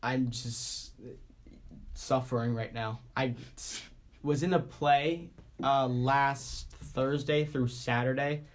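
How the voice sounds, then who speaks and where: A man from the Boston area hosts a relaxed podcast chat while congested from a cold. He talks in halting bursts, restarting sentences and leaning on fillers. His pitch sits in a plain middle range. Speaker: podcast co-host. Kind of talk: casual chat.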